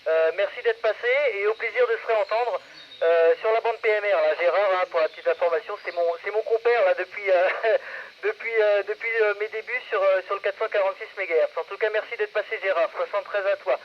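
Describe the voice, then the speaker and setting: A man casually hosting an amateur radio net, speaking in halting, hesitant phrases into a radio microphone. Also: sits high, fairly even intonation. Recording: muffled radio audio with background noise.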